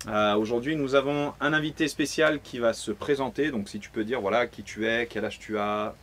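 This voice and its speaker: A man hosting a casual interview, talking in a relaxed, chatty way with loose phrasing. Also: medium pitch, moderate pace, a little hiss or hum. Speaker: interviewer and host. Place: outdoors by a pool.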